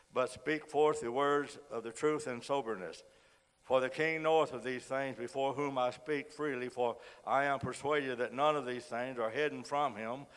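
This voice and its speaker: Hoarse male preacher in a reverberant church. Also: mid-range voice. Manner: frequent amens, earnest preaching. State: hoarse from a cold. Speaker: guest preacher. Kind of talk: sermon with scripture reading. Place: church sanctuary. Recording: long reverb of a church hall.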